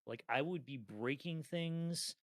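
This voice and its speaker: A man's voice: a little high, slow pace, no echo, clean studio sound. A man in his thirties co-hosting a casual gaming podcast, telling stories with lots of laughter and casual swearing. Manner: laughs often, animated storytelling, swears casually. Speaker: co-host.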